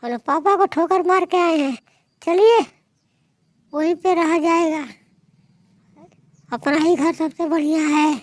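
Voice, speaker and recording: Woman acting a headstrong young daughter, cartoon, very high voice, unhurried, pleading and scolding. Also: no echo. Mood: aggrieved. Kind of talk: Hindi moral-story cartoon dialogue.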